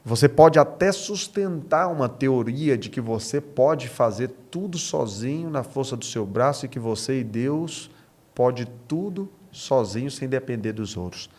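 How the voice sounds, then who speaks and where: A Brazilian man with a mid-pitched voice talks like a pastor in conversation. He is earnest and emphatic, making his points through personal stories and often checking in with short rhetorical questions. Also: animated, measured pace, a little mellow. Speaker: pastor, podcast guest. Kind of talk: conversational preaching with personal anecdotes.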